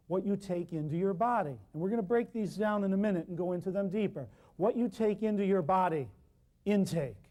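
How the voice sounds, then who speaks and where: A man teaching a natural healing workshop to a live class, talking loudly and emphatically in a folksy way, with lots of repeated lists of words. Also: high voice.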